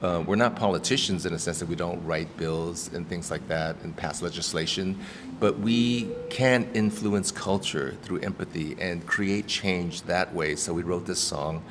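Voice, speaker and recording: A man's voice: deep voice, normal speed. A man, an actor and activist, talking earnestly and reflectively in a casual interview, with frequent restarts and ums. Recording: roomy reverb with background noise.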